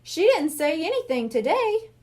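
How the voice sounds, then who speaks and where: Woman reading as a concerned young girl, high-pitched. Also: big pitch swings, moderate pace, a little mellow. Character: young girl Lucy, concerned, sensible schoolfriend.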